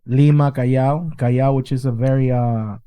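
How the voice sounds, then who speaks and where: Earnest talkative man, mellow voice. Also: medium pitch, fairly even intonation, normal speed, clean tone. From Peru. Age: adult with children. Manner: loose and earnest, peppered with "bro" and "you know what I mean". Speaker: guest artist. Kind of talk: casual conversational interview. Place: inside a car.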